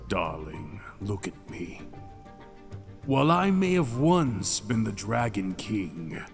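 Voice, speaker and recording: A man performs an ancient dragon king in chains, speaking very slowly with languid, dramatic pauses. He is seductive and possessive with an edge of madness and menace, as in a fantasy audio roleplay. Long cavern reverb surrounds him and music plays behind. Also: fairly low pitch, big pitch swings, creaky, exaggerated voice. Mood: teasing, languid. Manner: languid, teasing, drawn-out phrasing with dramatic pauses. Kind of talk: intimate ASMR roleplay acting.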